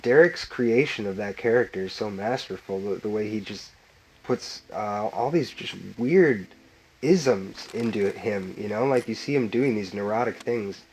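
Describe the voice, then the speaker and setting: A man's voice: on the low side, unhurried, a little hiss or hum, poor audio. A man, a filmmaker, chatting enthusiastically through a commentary track and filling his talk with "like" and laughs. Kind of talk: director's commentary chat.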